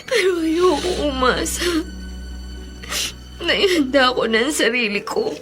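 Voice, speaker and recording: High-voiced, tearful Filipina mother. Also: expressive, slow pace, a bit bright, faint music behind, full character voice. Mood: tearful, resolute. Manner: tearful, plaintive delivery. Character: ailing, tearful mother home from working abroad. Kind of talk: Filipino radio drama acting.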